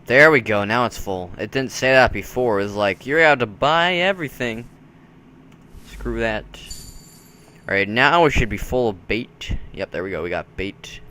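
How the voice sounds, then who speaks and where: A man's voice: mid-range voice, sharp tone, slight background noise. A man giving casual commentary while playing through a video game.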